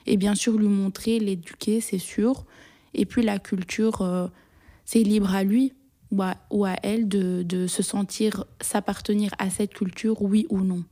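A young woman answers personal questions in an interview. She sounds shy and hesitant, restarting her sentences and filling pauses. Her voice is mellow and dark, and her pitch barely moves. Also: level tone. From Belgium.

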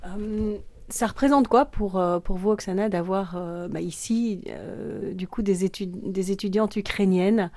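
A woman interviewer poses questions in a hesitant way, full of fillers. Her voice is mellow and slightly low, in a dry, close recording. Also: a little slow, dry recording.

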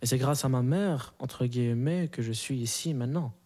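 A young man in his twenties, whose first language is Arabic, answers questions in a relaxed, halting way. He fills his pauses with hums and restarts. His voice is warm and dark, at a middling pitch. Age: 20s. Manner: hesitant, many fillers and restarts. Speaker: interviewee. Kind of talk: casual interview answers.

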